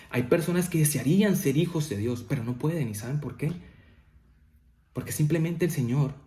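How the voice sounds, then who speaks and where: A male preacher with a warm, mellow tone delivers a family devotional in a church. His voice carries through a reverberant room. He keeps asking "¿cierto?" and builds to emphatic peaks. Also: mid-range voice. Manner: repeats "¿cierto?" often, rises to emphatic peaks.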